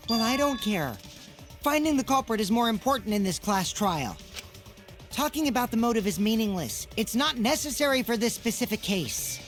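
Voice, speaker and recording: Casting: player voicing a scheming teen boy, cool and pushy, lively up-and-down delivery. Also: mid-range voice, normal speed, faint music behind, close to an everyday voice. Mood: dismissive. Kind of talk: reading game dialogue aloud.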